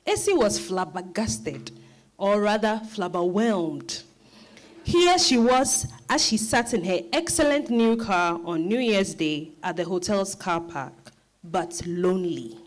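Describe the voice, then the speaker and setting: A woman narrating a dramatized story as an expressive storyteller. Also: mid-range voice, slow pace.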